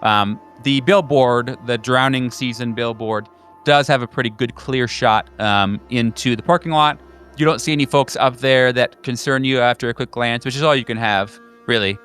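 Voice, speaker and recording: A man narrating an improvised tabletop horror game as game master, halting and conversational in his descriptions. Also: mid-range voice, fairly bright tone, clean tone, faint music behind.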